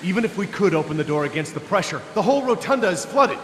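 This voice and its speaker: A man's voice: high-pitched, animated, a bit bright, a little hiss or hum. An actor playing an anxious, protective father in a video game, urgent and emotional. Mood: anxious, frustrated. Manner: urgent, emotional, halting under stress. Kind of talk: dramatic video game voice acting. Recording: echoey hall reverb with music.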